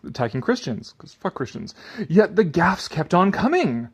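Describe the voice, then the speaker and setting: A man's voice: sits high, big pitch swings, moderate pace, slightly rough, dull audio. A man giving a dramatic reading with sarcastic, exasperated asides and casual swearing, shifting between declaiming and offhand muttering. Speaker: commentator reading an article aloud.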